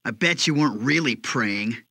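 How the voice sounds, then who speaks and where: An actor playing a cocky young half-demon fighter in an anime game, with a gruff, taunting manner. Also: male voice, medium pitch, lively intonation, normal speed, no echo, studio quality. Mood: teasing. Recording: loud game music behind. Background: loud background music.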